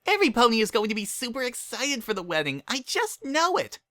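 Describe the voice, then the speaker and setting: A bubbly young female pony party planner acted out by a man in a story reading. The voice is very high and bright, gushing with cheer and swooping with excitement. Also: brisk, dry recording, studio quality, full character voice. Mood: breezy, cheerful.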